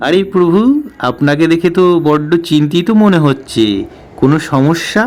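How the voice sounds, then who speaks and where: A man voicing a serene divine sage in a comic cartoon, with drawn-out, chanting delivery. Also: on the high side, a little mellow, faint music behind, natural voice. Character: serene, singsong male divine sage, soothing and meddlesome.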